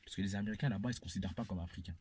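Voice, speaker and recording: A man's voice: deep voice, very fast, a little mellow, breathy edge. A man, giving his opinion emphatically in a casual debate and repeating phrases for effect. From Congolese descent. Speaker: panel speaker.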